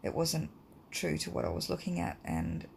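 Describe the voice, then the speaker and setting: A woman with a croaky voice from hay fever, teaching a drawing lesson in a relaxed, rambling way with many ums. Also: low-pitched, normal speed, a little hiss or hum. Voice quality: croaky, gravelly. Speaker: art teacher. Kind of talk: casual drawing tutorial voice-over.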